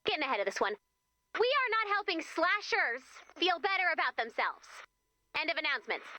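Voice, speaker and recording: High, lively, quippy game heroine. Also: female voice, a little fast, background noise. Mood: wry, perky. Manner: chatty, sarcastic, expressive. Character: young, perky, quippy female camp leader, wryly exasperated. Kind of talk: video game character voice lines.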